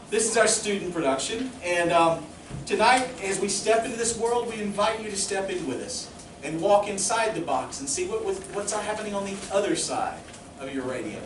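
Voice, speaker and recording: High-pitched man introducing a show, echoey. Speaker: student production's presenter. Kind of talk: live welcome speech to an audience. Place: live in a community playhouse. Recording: echoey hall, distant mic, clear noise.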